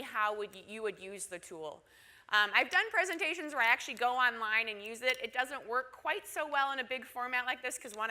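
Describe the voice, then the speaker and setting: Bright-voiced Minnesotan woman presenting. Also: on the high side. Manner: chatty, asks audience questions with tag "right?". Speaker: conference presenter. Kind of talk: conference presentation.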